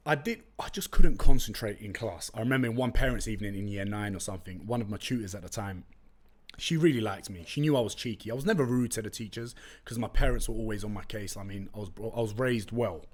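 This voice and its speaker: A man's voice: lowish voice, a little fast. A young man from London with a London accent, a native Turkish speaker, chatting casually and slangily as a solo podcast host on a close studio mic. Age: young adult. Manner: chatty, slangy, animated storytelling. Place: room with brick walls, indoors. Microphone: close studio condenser mic with pop filter.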